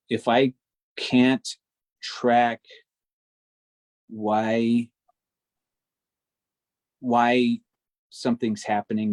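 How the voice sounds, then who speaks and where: A man who narrates audiobooks, chatting casually in a relaxed, rambling way and often correcting himself mid-sentence. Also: mid-range voice, dry recording. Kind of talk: casual video chat.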